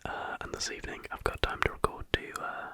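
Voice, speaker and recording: Bright, breathy whispering ASMR host. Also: fairly quick, no echo.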